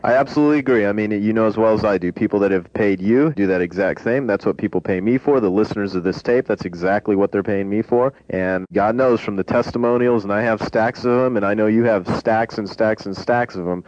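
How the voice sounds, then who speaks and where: A man hosting a taped business interview, earnest and quick to agree, pointing to his own resources. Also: on the low side, a little mellow, no echo. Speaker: interview host. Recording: dull dry lo-fi tape.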